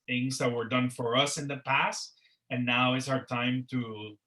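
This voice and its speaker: A man with a very bright, sharp voice gives closing thanks on an online panel. He rambles, repeating words and leaning on "uh" and "you know." He sounds like he is on a video call. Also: medium pitch, little pitch movement, unhurried. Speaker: organizer of a career network panel. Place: Miami.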